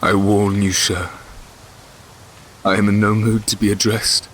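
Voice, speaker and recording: A cold, wrathful warrior king in an audio drama. His low voice is sharp-edged and forceful. He speaks with formal, archaic command. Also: level tone, measured pace, a little hiss or hum. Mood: cold anger. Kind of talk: fantasy audio drama acting.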